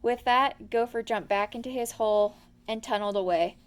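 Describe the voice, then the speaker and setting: Woman storyteller with a lightly high voice. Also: normal speed, smooth. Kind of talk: expressive children's story read-aloud.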